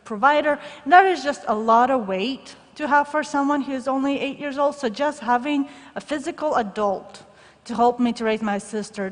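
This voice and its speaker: A young adult woman, originally from the former Soviet Union, shares her life story with a live audience. She talks to the listeners directly and candidly, with touches of humor, in a slightly raised voice. A long reverb hangs on her words, as in a large hall. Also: fairly high pitch. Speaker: guest speaker sharing her testimony. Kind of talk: personal testimony speech to an audience.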